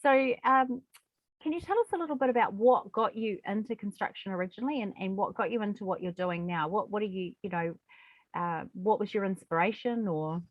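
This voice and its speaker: A woman's voice: mid-range voice, fairly quick, dry recording, highs cut off. A woman interviewer, talking casually in an effusive, encouraging way.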